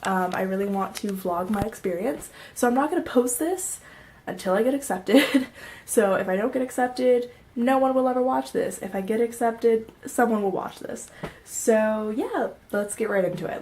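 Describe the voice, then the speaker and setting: A woman's voice: a little high, normal speed, a little mellow, slight background noise. A young woman vlogging in a casual, chatty way, laughing often and a little stuffy from a cold. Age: young adult. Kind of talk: casual chat to camera.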